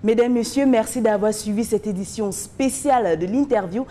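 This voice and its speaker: Female news interviewer, mellow voice, formal delivery, faint rumble. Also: moderate pace. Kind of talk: broadcast interview.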